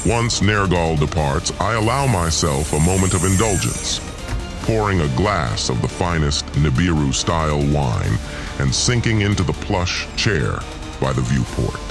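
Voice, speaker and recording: A man narrates an audio drama in the first person with a low voice. His reading is theatrical and brooding, with lively rises and falls, over a music bed. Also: a little slow.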